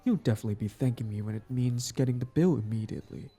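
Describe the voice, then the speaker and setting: A man acting out a smug but smitten villain on a date, smooth-talking and casual, in an intimate audio roleplay. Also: medium pitch, fast, warm tone, soft background music, natural voice. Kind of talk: intimate scripted boyfriend-style audio roleplay.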